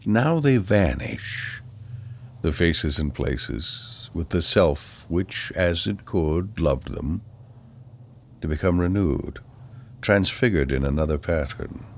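A man giving a solemn poetry reading in a measured, deliberate manner, pausing between phrases. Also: low voice, vocal fry, slight background noise. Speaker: poetry reader.

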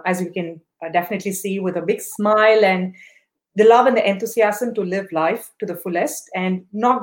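A woman hosting a podcast interview, encouraging and praising her guest, with many fillers. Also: mid-range voice. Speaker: podcast host.

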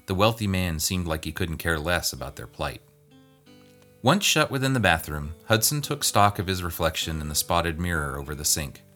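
A man's voice: low voice, sharp tone. A man reading a scripted story aloud as narrator. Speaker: guest reader.

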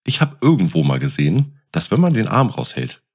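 Male, very low and rough-edged. Animated, casual anecdote teller. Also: fairly quick.

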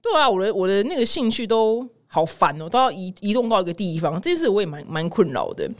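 A woman's voice: mid-range voice, very animated, quick pace, a little mellow. A woman with a Taiwanese Mandarin accent hosting a casual news podcast, exclamatory and dramatic, wandering into personal asides and mixing in English words. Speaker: podcast host.

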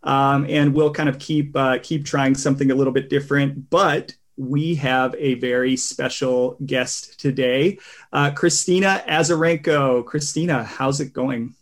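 A man hosting a podcast in relaxed, rambling casual chat, with a tenor voice and many fillers. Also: medium pitch, measured pace. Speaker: podcast host.

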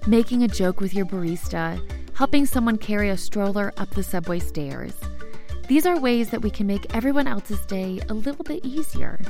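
A woman reading a scripted documentary narration in a measured, reflective way. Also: mid-range voice, a little mellow. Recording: faint music underneath.

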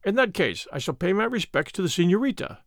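A man's voice: sits high, very animated, fast, dry recording, clean studio sound. A man voicing an arrogant, pushy army captain in an audiobook, suave and menacing, with a commanding, sneering manner. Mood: domineering.

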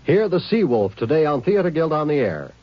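Lively old-time male promo announcer. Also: on the high side, normal speed. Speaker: network announcer. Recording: dry muffled old radio recording.

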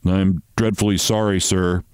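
A man's voice: low voice, flat delivery, measured pace, darkish tone, clear, resonant tone, no echo, clean studio sound. A man voicing a meek, polite little male clerk in a short story, brief and deferential. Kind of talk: short story read aloud.

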